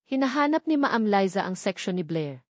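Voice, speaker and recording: A woman's voice: medium pitch, sing-song, moderate pace, dry recording. Female narrator, scripted romance drama storytelling, dry close sound.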